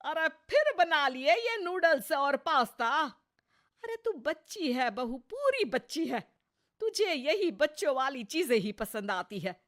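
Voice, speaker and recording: A woman's voice: high-pitched, sing-song, measured pace, fairly bright tone, voice pushed up, full character voice. A woman voicing a scolding, sarcastic mother-in-law in her sixties for an acted moral story. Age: 60s. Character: scolding, nagging sixty-year-old Indian mother-in-law.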